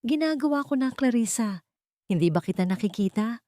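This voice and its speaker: A woman's voice: fairly high pitch, animated, a little fast, mellow, no echo, studio quality, voice pushed up, lightly acted. A woman voicing a desperate, manipulative cheating husband in an audio drama, pleading and blustering. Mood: angry, defensive.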